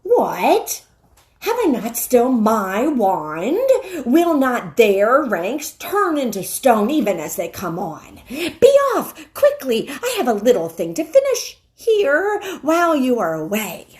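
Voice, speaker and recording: A woman's voice: on the high side, wildly swooping pitch, a little mellow, pitched above the performer's own voice, heavily acted. A woman voicing an imperious, cruel witch queen in a storybook, scornful and emphatic as she commands. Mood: commanding, fierce. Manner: emphatic, commanding, drawn-out words.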